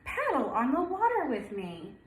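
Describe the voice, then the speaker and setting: A woman's voice: high voice, wildly swooping pitch, moderate pace, a little hiss or hum. A woman playing a patient mother duck in a storybook, coaxing and reassuring. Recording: roomy echo.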